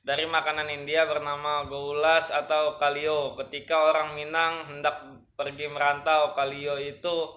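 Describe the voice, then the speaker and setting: A young man in his late teens reads out a school assignment. His voice sits a little high and has a bright, forceful edge. The intonation stays very flat, giving a stiff, recited student delivery. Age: late teens, young adult. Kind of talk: reading a school report aloud.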